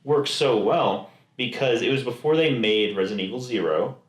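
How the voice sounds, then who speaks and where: Male podcast co-host, mid-pitched, loose rambling delivery, roomy echo. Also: expressive, moderate pace. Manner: rambling, full of restarts and quoted asides. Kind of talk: casual chat. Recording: echoey room.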